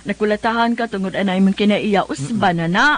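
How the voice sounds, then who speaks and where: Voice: medium pitch, fairly quick. A Filipino co-host with a Cebuano accent talks in casual banter. The delivery is conversational, handing out advice as if among friends. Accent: Filipino, Cebuano-speaking. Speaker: co-host giving advice to letter senders.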